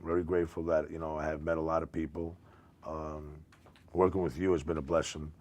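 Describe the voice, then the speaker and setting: A man's voice: rumbling low, level tone, fairly quick, darkish tone. A middle-aged man from Greece speaking casually as a talk show guest, jokey and full of "you know". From born in Greece, came via London to the United States. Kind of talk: casual interview chat.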